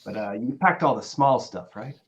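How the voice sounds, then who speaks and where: A man's voice: mid-range voice, animated, moderate pace, highs cut off, lightly acted. A man playing an affable, self-satisfied businessman and boyfriend in a dramatic play reading. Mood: affectionate.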